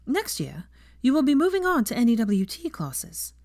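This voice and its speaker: A young schoolmistress, as a woman narrator plays her, addresses her class in a raised, projected voice. She is brisk and earnest, encouraging pupils with questions in an audiobook story. The sound is dry. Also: a little high, wildly swooping pitch, a little mellow, dry recording, pitched above the performer's own voice. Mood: brisk, instructive.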